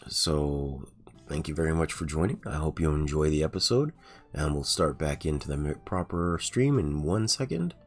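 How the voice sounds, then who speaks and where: A man with an extremely deep voice talks his way through a video game stream, loose and unhurried. His throat is sore today, so the voice comes out raspy. Faint music plays underneath. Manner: relaxed, rambling, with frequent ums and uhs. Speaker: gaming streamer. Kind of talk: casual let's-play commentary.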